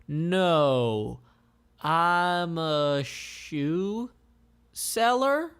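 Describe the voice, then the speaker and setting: A man acts out a young princess hiding who she is. The voice lifts higher, with an earnest, slightly hesitant manner suited to a comic story. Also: expressive, drawn-out words, fairly bright tone, clean tone, full character voice. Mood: flustered, evasive. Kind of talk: comic read-aloud character voice.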